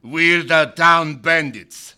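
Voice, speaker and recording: Man playing a harsh, slow, menacing bandit. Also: fairly high pitch, pushed and bright, clean tone, pitched above the performer's own voice, heavily acted. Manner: drawn-out, threatening delivery.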